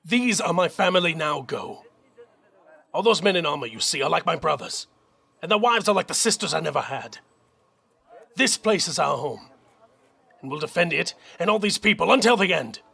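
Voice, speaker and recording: A man playing a dutiful young army captain in an audio drama, earnest and measured. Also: fairly high pitch, animated, creaky, sharp tone, breathy edge, full character voice. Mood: firm, resolute.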